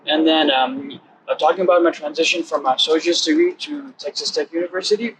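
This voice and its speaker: A man's voice: fairly high pitch, animated, a little fast, background noise. A man in his twenties from Nepal with a Nepali accent, answering questions casually as an interview guest. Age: 20s. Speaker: interview guest, program alumnus.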